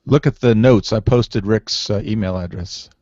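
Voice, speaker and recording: A man's voice: on the low side, moderate pace, warm tone, dull audio. A man co-hosting a weather podcast, making dry teasing remarks. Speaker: podcast co-host. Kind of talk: casual chat.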